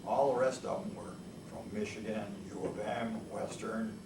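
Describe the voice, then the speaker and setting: A man's voice: fairly low pitch, fairly even intonation, normal speed, creaky. An elderly man who spoke Italian at home as a child, recounting his past in an oral history interview, rambling and hesitant with many restarts. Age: older. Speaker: veteran interviewee. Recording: echoey room, mic far off, noisy.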